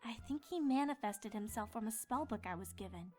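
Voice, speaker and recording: A woman's voice: on the high side, lively intonation, a little fast, a little mellow, faint music behind. A woman voicing an earnest, easily indignant young female cartoon unicorn in an insistent, bristling manner. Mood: explaining. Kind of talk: cartoon fan-fiction voice acting.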